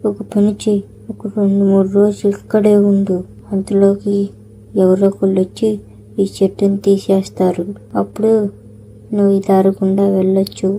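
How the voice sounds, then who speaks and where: Monotone, mocking trickster vegetable played by a woman. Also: medium pitch, normal speed, slight background noise, close to an everyday voice, rough recording. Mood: sly. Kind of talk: animated moral story dialogue.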